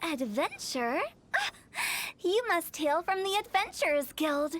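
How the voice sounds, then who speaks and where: High, teasing funeral director. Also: female voice, big pitch swings, slow, dry recording, heavily acted. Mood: playful. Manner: playful, teasing, macabre humor. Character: impish young woman funeral parlor director. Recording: game audio with background music.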